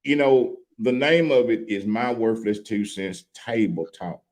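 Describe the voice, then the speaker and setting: A man hosting a streamed chat show, who talks in a relaxed, folksy and joking way. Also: on the low side, unhurried. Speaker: host. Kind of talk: casual chat. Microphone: video call.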